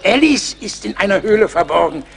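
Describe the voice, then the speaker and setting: Pompous, pious oddball psalmist, high creaky voice, florid preacherly radio drama. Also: male voice, big pitch swings, fairly quick, slight background noise, full character voice. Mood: earnest. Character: pious, pompous psalm-singing teacher, eccentric and harmless. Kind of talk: German radio play acting.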